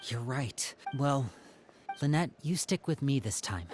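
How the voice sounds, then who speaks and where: A man playing a composed, caring young elder brother who leads his group in a video game, speaking in a calm, measured way. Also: medium pitch, faint music behind, close to an everyday voice. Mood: calm, assured.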